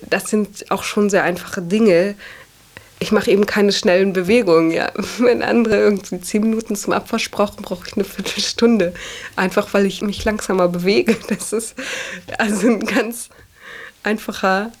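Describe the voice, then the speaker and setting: A woman's voice: mid-range voice, steady tone, normal speed. A woman in her twenties talking casually and reflectively about herself, often tagging phrases with a questioning "ja". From Germany. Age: 20s. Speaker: interviewee. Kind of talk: reflective casual personal talk.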